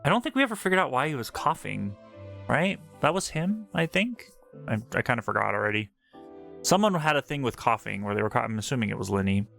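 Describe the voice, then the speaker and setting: A woman's voice: a bass voice, very animated, fast, vocal fry, a bit bright. A woman giving casual, slangy game commentary, thinking aloud as she plays. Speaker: game streamer. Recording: game music behind the voice. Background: game music and dialogue.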